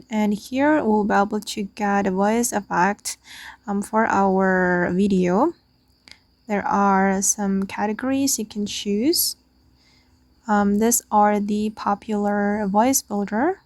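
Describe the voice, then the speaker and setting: A woman's voice: mid-range voice, slow, darkish tone, no echo. A woman hosting a casual step-by-step screen tutorial, speaking informally as if to friends. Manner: informal, addresses viewers as guys. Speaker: tutorial host.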